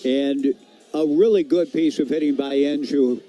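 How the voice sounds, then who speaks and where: A man's voice: fairly high pitch, fairly even intonation, unhurried, mellow, faint music behind. A man doing live radio play-by-play of a baseball game in clipped, descriptive phrases. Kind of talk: live radio sports commentary.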